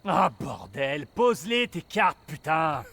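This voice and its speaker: A man's voice: fairly high pitch, sing-song, slow pace, a bit bright, full character voice. A man playing a cheeky, mocking, foul-mouthed soldier in a game drama, teasing and sarcastic. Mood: exasperated. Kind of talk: dramatic game acting in French.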